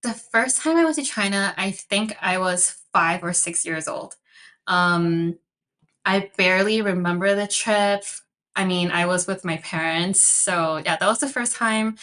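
Bright, chatty young woman from Chicago. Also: fairly low pitch, measured pace. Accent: American, Chicago. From Chicago, USA. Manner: chatty, upbeat, laughs often, uses "um" and "like". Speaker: podcast guest. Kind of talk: casual interview conversation.

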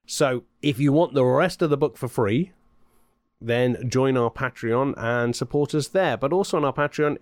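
A man hosts a podcast in a chatty, rambling manner, tossing in the odd filler. His voice sits at a middle pitch. The sound is dry and close, with no room echo. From Britain. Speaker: podcast host and author. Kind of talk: casual podcast chat.

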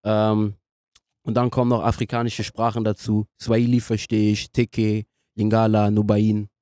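A young man speaking casually as a podcast guest, in a halting way as he searches for words. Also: lowish voice, monotone, warm tone, no room sound, clean studio sound. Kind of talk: casual interview conversation.

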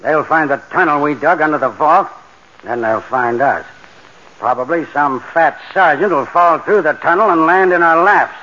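An actor playing a grim bank robber in a 1940s radio drama, with a bitter, wry way of talking. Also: male voice, a little high, normal speed, sharp tone. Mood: grim, resigned. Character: grim, sardonic bank robber, adult man. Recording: noisy, dull old radio recording.

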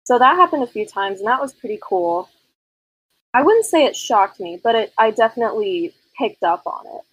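A woman's voice: on the high side, animated, moderate pace, breathy edge, dry recording, poor audio. Woman in her twenties from Northern California. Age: 20s. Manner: thoughtful, frequent fillers. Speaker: podcast guest. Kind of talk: casual conversation.

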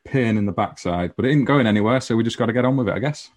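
A man's voice: medium pitch, racing. A man, an electrical contractor, talking in a matter-of-fact way and grumbling about his workload in a casual chat. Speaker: electrical contractor, podcast guest.

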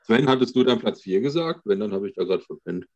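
A man's voice: fairly low pitch, lively intonation, fast, a little mellow. Man chatting on a dull, lo-fi connection. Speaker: podcast co-host. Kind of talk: casual chat among collectors.

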